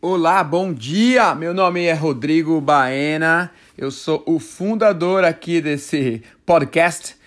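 A man's voice: a little high, takes it slowly, few pauses, fairly bright tone. A man in his thirties with a Brazilian Portuguese accent, hosting a casual solo podcast and rambling as he corrects himself. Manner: chatty, rambling, self-correcting. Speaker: podcast host.

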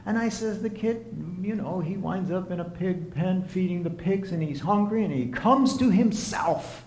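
A man preaching a sermon, telling stories and swinging between loud emphatic peaks and low quiet asides. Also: moderate pace, echoey room, rough recording. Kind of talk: sermon with storytelling.

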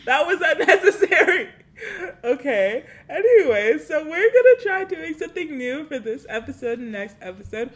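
A woman's voice: high voice, big pitch swings, normal speed. A bubbly, giggly woman co-hosting a casual podcast chat, rambling freely. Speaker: podcast co-host.

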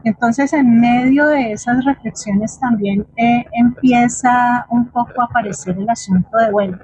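A middle-aged Colombian woman speaking as an interviewed theatre teacher, explaining reflectively and haltingly, with frequent little checking questions. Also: fairly high pitch, darkish tone, breathy edge, rough recording. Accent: Colombian Spanish. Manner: explanatory, hesitant, often adds checking tag questions. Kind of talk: reflective spoken answer in an online forum.

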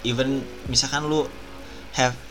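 A young Indonesian man talks in a loose, slangy way and often slips into English. He speaks at a mid pitch and keeps things easygoing. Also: unhurried, a crowd in the background, low-quality recording. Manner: relaxed, slangy, switches into English. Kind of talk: casual chat.

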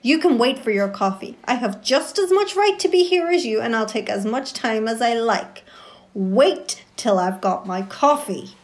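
A woman's voice: on the high side, expressive, normal speed, lightly acted. An actress playing an aggressive, foul-mouthed shopper in her forties who threatens and swears. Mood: furious. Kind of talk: amateur audio drama.

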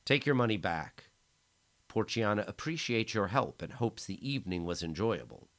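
A man's voice: fairly low pitch, sing-song, normal speed, fairly bright tone, pitched above the performer's own voice. A man voicing a cruel male enforcer who sneers and threatens. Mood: menacing. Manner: threatening, sneering, then falsely soothing.

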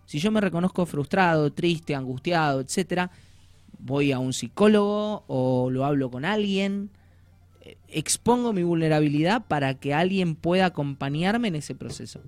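An Argentine man with a fairly high voice speaks as a coach. He explains things in an informal, conversational way, often voicing imagined remarks. The sound is very dry, without room echo. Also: moderate pace. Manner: informal, uses slang and acts out imagined quotes. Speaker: life coach, guest.

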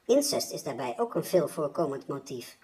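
Woman playing a low-voiced, formal literary panelist. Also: very animated, normal speed, dark tone. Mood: scholarly. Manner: formal, scholarly. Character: learned female literary panelist in a 1960s radio discussion. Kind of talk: reading a transcript of a literary radio discussion.

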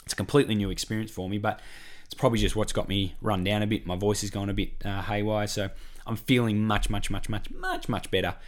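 A hoarse Australian man with a croaky voice from a cough, hosting a solo podcast as a weight-loss coach and talking in an encouraging, repetitive, chatty way. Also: fairly low pitch, steady tone, fast talker.

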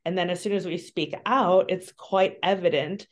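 A woman with a low voice gives an earnest, candid interview. She talks frankly about hard subjects, often pausing with "um" and restarting her sentences. She sounds like she is on a remote call. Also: steady tone. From Colombia. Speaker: interview guest, social worker.

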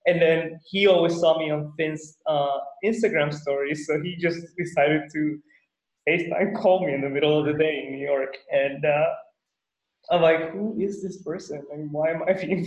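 A man hosting a podcast, talking casually and rambling, often repeating his words. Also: on the high side. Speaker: podcast host. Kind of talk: casual interview chat. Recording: echoey, dull-sounding room.

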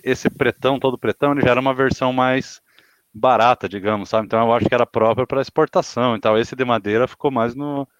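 A man in his late thirties speaks quickly in a casual chat, hedging his views as he talks. The recording is dry and dull, with a lo-fi sound. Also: medium pitch, dry recording. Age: 30s. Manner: quick, hedging opinions with "I think".

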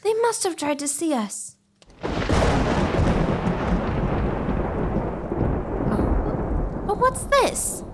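A woman plays an excitable young lady in a gothic comedy audio drama. Her voice is high and theatrical, full of spooky wonder. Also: slow pace, very noisy, heavily acted. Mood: anxious curiosity.

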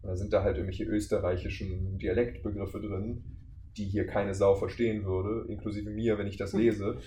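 A man's voice: lowish voice, flat delivery, moderate pace, mellow. A man with a German accent chatting casually as a podcast guest, telling work anecdotes in an informal way. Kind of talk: casual interview chat with anecdotes. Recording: roomy echo, faint background noise.

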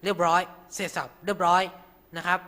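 A man's voice: high-pitched, little pitch movement, brisk, sharp tone. A man hosting an informal tutorial, talking through each step with frequent polite particles. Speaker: radio show host.

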